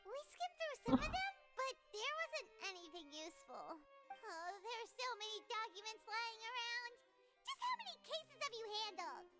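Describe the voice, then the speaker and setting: Tiny floating girl companion, squeaky high voice, bouncy sing-song pitch, nosy, game music loud behind. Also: big pitch swings, normal speed. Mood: chatty. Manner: talks about herself in third person.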